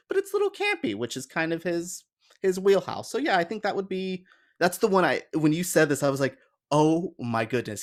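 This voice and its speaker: A man on a podcast panel chatting casually and enthusiastically about films and games. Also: fairly high pitch.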